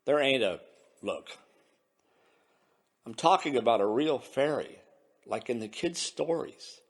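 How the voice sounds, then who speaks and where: A man's voice: expressive, takes it slowly. A man voicing a small, weary, secretive grifter in a short story, speaking in a subdued, hesitant way. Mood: earnest, quiet. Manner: subdued, hesitant, low-voiced. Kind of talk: dramatised short-story reading.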